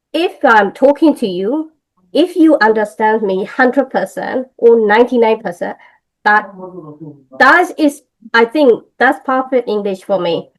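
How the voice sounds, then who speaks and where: Slow, hesitant Burmese-accented woman. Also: on the high side, low-quality recording. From Myanmar. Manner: hesitant, many fillers and restarts. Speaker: English teacher. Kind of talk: casual interview conversation.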